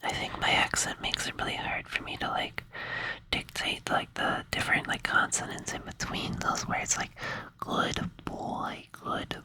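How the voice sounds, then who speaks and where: A young man whispering in a rambling, playful ASMR style, with many fillers. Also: unhurried. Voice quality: soft whisper. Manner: rambling, playful, says "like" a lot. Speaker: ASMR creator.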